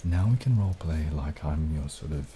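A young man speaking softly and unhurriedly in casual ASMR style, partly whispering, with some hesitation. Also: extremely low pitch. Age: young adult. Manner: soft-spoken, hesitant, unhurried. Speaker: ASMR video creator. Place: small dim indoor room. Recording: clearly audible background noise, added rain.

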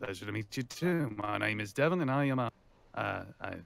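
A man playing a polite, pompous loan shark's son in an improvised game roleplay, halting and formal. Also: fairly low pitch, expressive, creaky, a bit bright.

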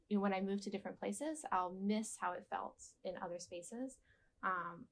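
Soft-spoken young woman, gentle and reflective, lots of ums, interview in a dark studio. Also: medium pitch, gravelly, a little hiss or hum, low-quality recording. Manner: gentle, thoughtful, many ums and restarts.

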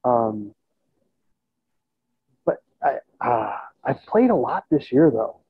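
A man in his thirties speaks in a husky, mid-pitched voice, casually reflecting as an interview guest. He thinks aloud and often checks in with the listener. The sound is dry and thin, like a phone-quality video call through an earbud mic. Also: big pitch swings, slow pace, dry recording. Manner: conversational, thinking aloud, checks in with "you know" and "right?". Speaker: musician and school band teacher being interviewed. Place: indoors in a plain room on a video call. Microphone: earbud headset. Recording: tinny, band-limited video call audio.

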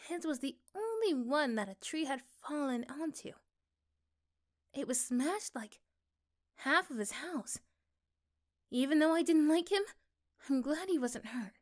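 A woman playing an affectionate, reassuring young girlfriend in a soft, gentle ASMR roleplay. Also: high-pitched. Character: affectionate, reassuring young girlfriend chatting intimately to her partner.

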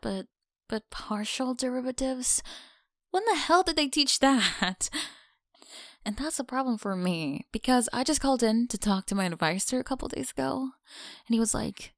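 A woman's voice: fairly high pitch, gravelly, dry recording, studio quality. A young woman with an American accent playing a mean, teasing college bully in an ASMR audio roleplay, mocking and slangy. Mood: exasperated. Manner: mocking, sighing, casual slangy talk. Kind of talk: ASMR audio roleplay, one-sided dialogue.

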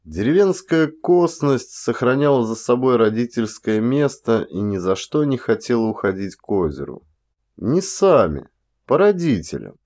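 A man reading a literary audiobook as an actor, with measured, dramatic phrasing and deliberate pauses. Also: mid-range voice, very animated, darkish tone. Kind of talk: expressive literary audiobook narration.

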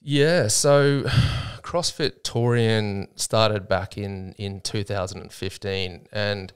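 A man's voice: lowish voice, steady tone, takes it slowly, clear, resonant tone. Chatty Australian man telling a story. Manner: chatty, laughs often, many fillers. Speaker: gym co-owner, guest. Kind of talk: casual storytelling interview. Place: small podcast studio. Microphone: studio mic with headphones.

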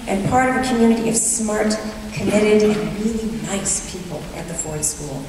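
A middle-aged woman giving a formal commencement address in an earnest, measured way with touches of humor, speaking at a podium on an auditorium stage. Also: a little high. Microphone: podium microphone heard from the hall. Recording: distant echoey hall recording with noise.

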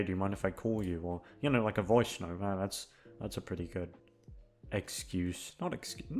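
Low-pitched man rambling casually over music. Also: a little mellow. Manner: hesitant, self-deprecating, sweary. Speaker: ASMR audio creator and host. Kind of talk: casual rambling outro chat to listeners.

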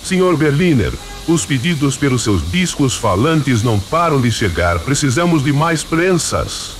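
A man's voice: mid-range voice, sing-song, measured pace, over music. Man acting an eager, swooping lab assistant. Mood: excited, urgent. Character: eager, deferential male laboratory assistant to an inventor. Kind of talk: radio drama acting. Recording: hissy, clearly noisy track.

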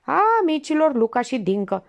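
A sarcastic older lady detective pokes at a suspect with pointed, mocking jabs, voiced by a woman reading a storybook. Her voice sits high and swings widely in pitch. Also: animated, gravelly. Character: sharp sarcastic older female amateur detective.

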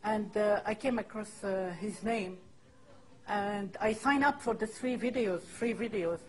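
A woman's voice: mid-range voice, low-quality recording. A middle-aged woman from France with a French accent, giving a hesitant testimonial to camera. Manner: hesitant, pausing with fillers. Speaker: customer testimonial. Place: indoors, high-rise room with window view. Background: soft music.

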